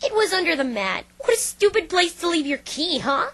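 A woman's voice: extremely high pitch, sing-song, moderate pace, fairly bright tone, full character voice. A woman voicing a brash, defensive young female pegasus pony in a fan dub, with a casual, cocky delivery. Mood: casual, confiding. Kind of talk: fan dub voice acting of a visual novel. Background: music.

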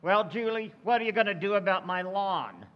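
An actor playing an angry neighbour who threatens to sue, in a recreated old-time radio comedy. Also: male voice, sits high, animated, moderate pace, highs cut off. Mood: indignant. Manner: stern, indignant.

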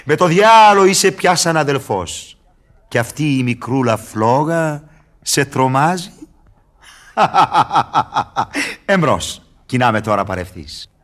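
An actor in a radio drama playing a sly, mocking devil who wheedles and teases in a declamatory way. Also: male voice, medium pitch, sing-song, a bit bright. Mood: goading. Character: sly, mocking devil tempter and fixer, male. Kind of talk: theatrical radio drama acting.

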